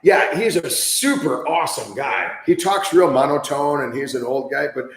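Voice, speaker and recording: A man's voice: fairly high pitch, expressive, moderate pace, a bit bright, echoey room. An enthusiastic, chatty man from the United States hosting a livestream, with lots of fillers. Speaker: livestream host. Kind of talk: casual livestream chat.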